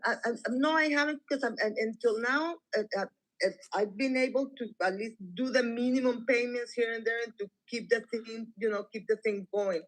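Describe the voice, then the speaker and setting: A man in his sixties from Costa Rica, a caller explaining his situation in a halting, rambling, repetitive and informal way. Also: extremely high pitch, a bit bright, low-quality recording. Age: 60s. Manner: repetitive, rambling, hesitant speech. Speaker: caller seeking financial help. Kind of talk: halting, informal personal account.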